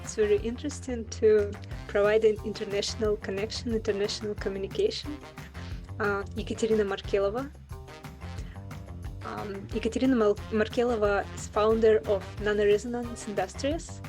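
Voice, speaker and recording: A woman's voice: medium pitch, fairly even intonation, fairly quick, darkish tone, soft background music. A woman moderating an online conference, introducing a guest in a formal way with some fillers.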